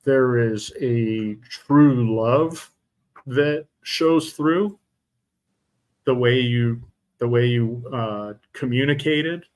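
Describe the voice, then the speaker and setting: Middle-aged male host, mid-pitched, chatty but stumbling, many restarts. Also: darkish tone, poor audio. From Utah. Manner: halting, many fillers and restarts. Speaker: podcast host. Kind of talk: casual interview chat.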